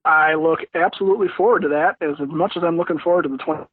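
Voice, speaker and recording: A middle-aged man with a slightly raised pitch gives casual sports analysis as a guest. He thinks aloud in a halting way. The sound is dry, muffled and lo-fi. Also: fairly even intonation, dry recording. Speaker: podcast guest, baseball pundit. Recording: dry, dull lo-fi connection.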